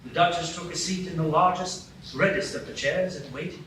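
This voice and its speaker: A man narrating a live radio play in a lively, expressive storybook manner. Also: on the high side, normal speed, far from the mic. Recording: roomy live stage sound from afar with background noise.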